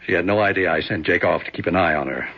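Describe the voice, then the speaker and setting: A man's voice: sits low, quick pace. An actor playing a stern, worried, domineering middle-aged senator and father in a 1970s radio drama, with a blustery, authoritative manner. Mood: tense, guarded. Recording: dull, band-limited vintage radio recording.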